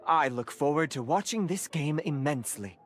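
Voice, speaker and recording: A stately male cat, voiced by a man, speaks somewhat high for a male and with lively intonation. He is a formal, dignified game arbiter, heard over faint music. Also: normal speed, natural voice. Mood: formal, expectant. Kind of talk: video game character acting.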